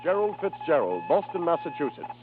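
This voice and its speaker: An actor playing a telegraph operator, reading messages aloud in declamatory 1930s radio style. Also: male voice, fairly high pitch, big pitch swings, fairly quick, narrow, tinny audio. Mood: reciting. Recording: thin, noisy 1930s radio recording.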